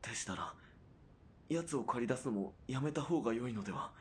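A man's voice: mid-range voice, expressive, a little fast, breathy edge. A man playing a principled, dutiful military police sergeant in a period radio drama, speaking formally, with earnest restraint. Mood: uneasy, restrained.

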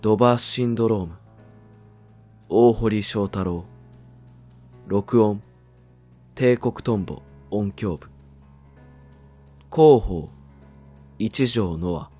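A male announcer with a lowish voice reads credits at an extremely slow pace. The delivery is scripted narration, with music playing behind him. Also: dark tone.

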